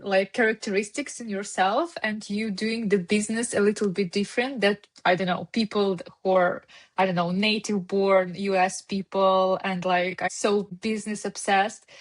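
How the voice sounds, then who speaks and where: A woman with a Ukrainian accent hosting a podcast, asking questions eagerly in non-native phrasing. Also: mid-range voice. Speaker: podcast host. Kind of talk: interview questions.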